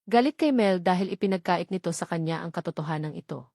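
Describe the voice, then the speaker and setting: A woman's voice: on the low side, animated, quick pace, creaky, a little mellow, dry recording, studio quality. A woman with a Filipino accent reading a scripted romance story as a dramatic audiobook narrator.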